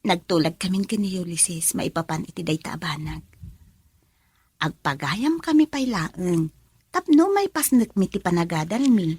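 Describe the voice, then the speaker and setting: Lively young daughter, slightly husky. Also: female voice, on the low side, moderate pace, no echo. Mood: affectionate. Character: affectionate student daughter, young woman in love. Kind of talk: Ilocano radio drama acting.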